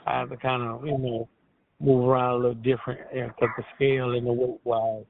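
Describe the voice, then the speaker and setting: A man's voice: medium pitch, level tone, a little fast, slight background noise. Plainspoken male caller on a phone line. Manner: informal, plainspoken, colloquial. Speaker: caller, small pallet business owner. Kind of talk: casual phone chat. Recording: tinny narrow phone line.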